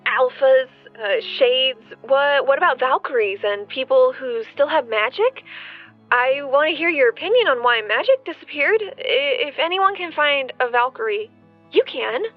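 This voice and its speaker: Phone-quality recording, high voiced woman a flustered eager caller, stumbles over words. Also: lively intonation, soft background music. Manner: halting, stammering. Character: eager, flustered female listener with questions. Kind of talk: audio drama caller message. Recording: tinny, narrow phone-like line.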